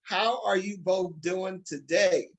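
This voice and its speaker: An upbeat man hosting a talk show. He speaks with excited emphasis and addresses his listeners directly, at a middling pitch. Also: slow.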